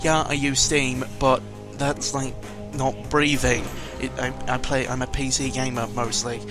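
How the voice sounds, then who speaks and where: Male gaming vlogger, mid-pitched, loose off-the-cuff delivery, hesitant and grumbly, clear music underneath. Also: little pitch movement, unhurried. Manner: hesitant, meandering, opinionated grumbling with mild swearing. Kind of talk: rambling casual audio log over gameplay.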